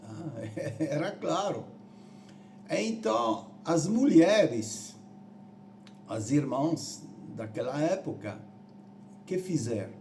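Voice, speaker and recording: A man from Rome with an Italian accent, preaching in halting, deliberate phrases with repetitions. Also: fairly high pitch, expressive, vocal fry, a little mellow, slight background noise. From Rome, Italy. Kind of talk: sermon on church history.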